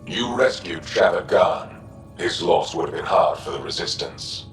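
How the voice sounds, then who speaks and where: An actor playing a proud, guarded alien resistance commander in a video game, measured and stern. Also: male voice, sits low, lively intonation. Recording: echoey, slightly distant, faint music behind.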